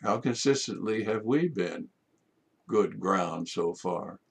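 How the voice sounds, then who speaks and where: An older man, a priest, delivering a measured homily from his study, with rhetorical questions. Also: lowish voice, animated, gravelly, breathy edge. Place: home study with bookshelves.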